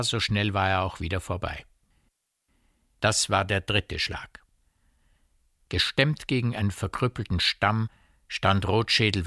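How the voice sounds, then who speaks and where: A man's voice: fairly low pitch, bright, forceful tone, no room sound, studio quality. A man giving scripted literary narration as the storyteller of a radio drama.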